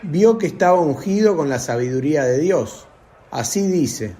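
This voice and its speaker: An actor playing a young disciple in a radio drama, answering earnestly and firmly. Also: male voice, a little high, expressive, normal speed, dark tone. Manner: earnest, firm replies.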